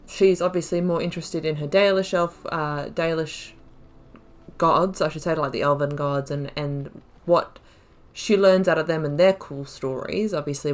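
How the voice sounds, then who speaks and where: Woman, low voice, gaming host, casual playthrough commentary, reflective, thinking out loud. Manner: rambling, reflective, thinking aloud.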